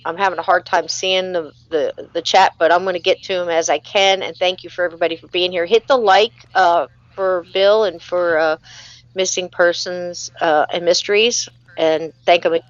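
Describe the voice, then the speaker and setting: A woman's voice: lowish voice, fairly even intonation, normal speed, no echo, lo-fi sound. A woman hosting a casual live stream, reading out viewer comments and chatting in a friendly way with lots of fillers. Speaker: live-show host.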